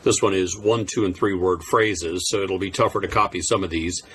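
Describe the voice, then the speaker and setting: Casting: man, deep low voice, casual hobby vlogger, easygoing and self-deprecating, light noise behind him. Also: fairly even intonation, normal speed, fairly bright tone. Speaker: amateur radio Morse code video maker. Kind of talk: casual vlog chat.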